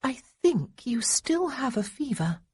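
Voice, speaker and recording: A woman voicing Honoria, a nervous, tender young gentlewoman from a storybook romance. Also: mid-range voice, animated, slightly rough, pitched above the performer's own voice. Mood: anxious. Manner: fluttery, quick, softly anxious.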